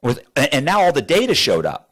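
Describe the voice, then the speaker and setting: A man's voice: on the high side, huge pitch swings, quick pace, fairly bright tone, breathy edge. A man being interviewed, telling stories in a rambling, blunt way and acting out both sides of conversations. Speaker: interview guest. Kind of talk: animated storytelling with acted-out dialogue. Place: studio.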